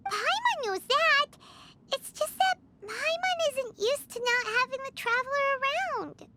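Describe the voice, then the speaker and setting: A woman's voice: very high-pitched, lively intonation, unhurried, very noisy. A woman voicing a tiny, anxious female companion sprite who talks about herself in the third person. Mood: excitable.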